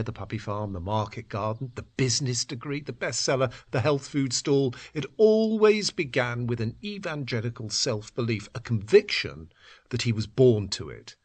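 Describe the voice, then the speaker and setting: A man reading a literary story in an expressive, measured, dramatic style. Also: fairly low pitch, slightly rough. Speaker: narrator. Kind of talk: dramatic literary story narration, acting out dialogue.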